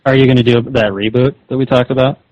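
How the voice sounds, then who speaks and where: A man's voice: medium pitch, fairly even intonation, quick pace, no room sound. A man arguing over the phone in an insistent, scolding, confrontational way. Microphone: telephone call. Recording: dull lo-fi phone call recording.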